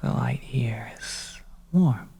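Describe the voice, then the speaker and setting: Whispered ASMR narration, very breathy, crackly fry, soft storyteller, hushed suspense story. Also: mid-range voice, lively intonation. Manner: soft, hushed, gentle delivery with pauses. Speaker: audio storyteller.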